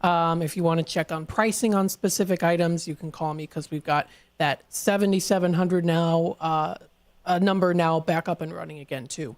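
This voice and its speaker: A man co-hosting a live call-in radio shopping show, friendly and hesitant, with many fillers, reading phone numbers out slowly. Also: on the high side, fairly even intonation. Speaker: radio show co-host and vendor.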